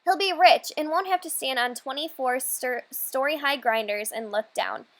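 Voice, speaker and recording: A woman reading aloud a children's storybook as a hopeful, dreamy young girl, earnest and measured. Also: sits high.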